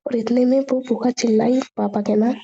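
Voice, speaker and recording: Creaky, mellow young woman on phone. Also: a little high, steady tone, moderate pace, slight background noise. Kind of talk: casual personal phone chat. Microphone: phone call. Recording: dull lo-fi phone call recording.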